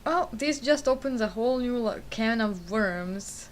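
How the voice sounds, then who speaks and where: A woman's voice: fairly high pitch, animated, a little slow, slight background noise. Croatian-accented woman co-commentating a game. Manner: chatty, explanatory analysis with fillers. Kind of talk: color commentary on a video game.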